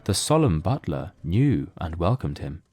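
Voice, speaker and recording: A man narrating a literary mystery as scripted audio drama, in a measured, solemn storytelling manner. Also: deep voice, big pitch swings, dark tone, slightly rough, faint music behind.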